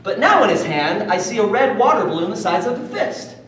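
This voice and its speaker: A sensitive, defiant schoolboy acted by a man tells his story on stage in vivid, short bursts. The voice is high and swings widely in pitch, heard from a distance in a very reverberant room. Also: big pitch swings, brisk. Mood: tense, watchful. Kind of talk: dramatic first-person stage monologue. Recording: distant, echoey room with faint noise.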